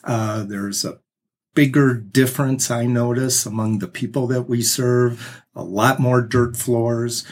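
Slow, husky man explaining carefully. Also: medium pitch, steady tone. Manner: measured, explanatory. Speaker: guest. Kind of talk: casual interview chat.

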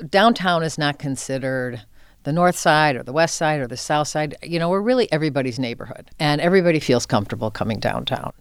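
A woman's voice: sits low, smooth, dry recording, clean studio sound. An older woman speaking as an interview guest, informal and earnest, thinking aloud in short conversational phrases. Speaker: film center executive director, interview guest.

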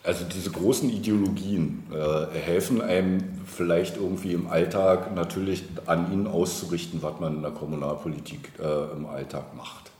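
A man's voice: sits low, steady tone, normal speed, hardly any pauses, a little mellow. A man speaking as a local politician in a conversational interview, explaining in long, winding, hesitant sentences. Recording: roomy echo, faint background noise.

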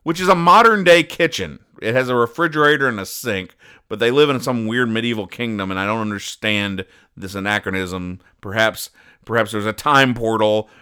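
A man hosting a comedy podcast, giving deadpan, mock-serious explanations with halting asides. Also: fairly low pitch, clean tone. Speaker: podcast host. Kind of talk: deadpan comedic commentary, recapping comic strips.

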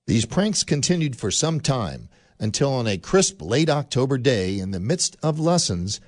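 A man narrating a radio drama in a measured storytelling manner with dry wit. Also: medium pitch, big pitch swings, a little mellow, dry recording.

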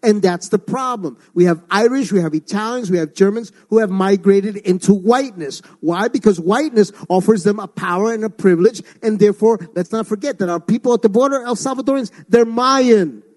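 A woman's voice: mid-range voice, fairly quick, few pauses, darkish tone. A woman from Ecuador who grew up in New York City, speaking emphatically and rhetorically in an impassioned radio discussion. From born in Ecuador, raised in New York City. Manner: emphatic, rhetorical, often asks "right?".

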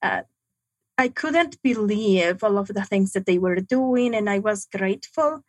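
Slow, halting young woman, second-language English. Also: medium pitch, expressive, dry recording. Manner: halting, reflective, frequent pauses. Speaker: guest. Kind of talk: personal testimony in an interview.

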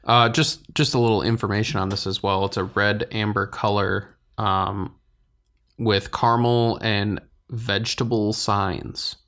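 A man's voice: lowish voice, steady tone, unhurried, fairly bright tone. A man co-hosting a casual beer podcast, speaking in a laid-back, informal way. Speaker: podcast co-host.

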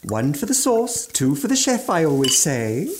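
An actor playing a genial, boastful British chef in the style of an old radio play, with effusive, gentlemanly phrasing. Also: male voice, a little high, very animated, moderate pace, background noise. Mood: effusive hospitality. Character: genial, boastful gourmand chef, former mountaineer, now legless.

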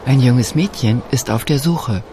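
A man reading scripted narration for a radio documentary feature in a calm, measured way. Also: medium pitch, noisy background.

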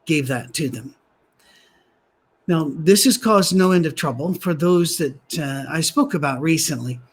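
A man teaching a Bible lesson in a soft voice, conversational and dryly humorous. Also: on the high side. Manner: dry humor, conversational asides. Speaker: preacher. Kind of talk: sermon-style Bible teaching.

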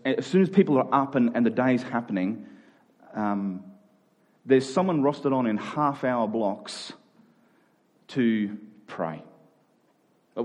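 A man delivers an informal sermon in a medium-pitched voice. He speaks earnestly and humbly about himself, often doubling back on a phrase as he works through a thought. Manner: earnest, self-deprecating, thinks aloud with repeated phrases. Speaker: preacher. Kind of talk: conversational sermon.

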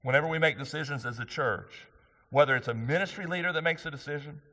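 A man preaching a sermon to his church, loud and emphatic, rising for key points. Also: fairly high pitch, quick pace. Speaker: pastor. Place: church sanctuary. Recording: long hall reverb.

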